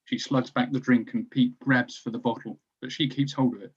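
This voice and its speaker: A man's voice: medium pitch, fairly even intonation, normal speed, husky, dull audio. A man reading stage directions as scripted narration for a screenplay table read.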